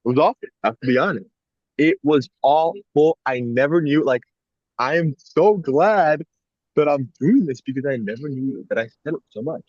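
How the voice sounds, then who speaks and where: A man's voice: a little high, expressive, normal speed, a little mellow, dry recording, highs cut off. A young man co-hosting a podcast, talking in a casual, rambling and reflective way with frequent "like" fillers. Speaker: podcast co-host.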